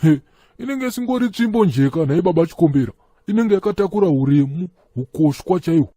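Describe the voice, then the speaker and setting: A man's voice: on the high side, expressive, quick pace, mellow, slightly rough, no room sound, studio quality. A man voicing a preachy Zimbabwean church elder. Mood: lecturing. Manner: lecturing, earnest, sermonizing. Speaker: cartoon character, friend of Chikombera. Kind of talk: comic cartoon dialogue.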